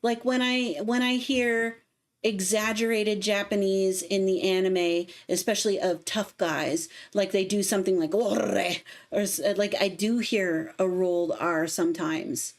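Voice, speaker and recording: A woman in her thirties with a light Midwestern accent chats on a home livestream from her desk. She laughs easily and explains how singing works in a relaxed, friendly way. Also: mid-range voice, unhurried. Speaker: streamer and cover singer. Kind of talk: casual livestream chat. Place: home room, indoors.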